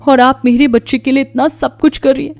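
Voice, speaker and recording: A woman voicing a proud, scornful young wife in a cartoon story, talking sharply in a high voice. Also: level tone, brisk. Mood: remorseful. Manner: sharp, haughty, raised voice.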